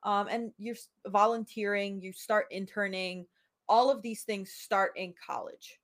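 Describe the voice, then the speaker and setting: A woman in her twenties hosting a podcast, chatting casually and telling stories with lively quoted dialogue. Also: medium pitch, measured pace. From United States. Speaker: podcast host. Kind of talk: casual chat.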